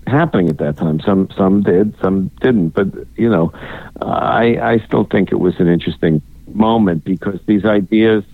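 A low-voiced man talks by cell phone as a guest author. He rambles through long thoughts, full of "you know" and restarts. The line is tinny and dry, with no room around him. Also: no echo. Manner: rambling, many fillers and restarts. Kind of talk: phone interview.

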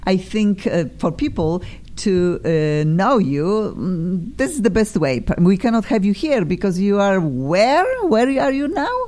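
A woman's voice: mid-range voice, lively intonation, unhurried, a little mellow. A woman with a Polish accent hosting a live radio interview show, effusive and hesitant with many fillers. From Poland.

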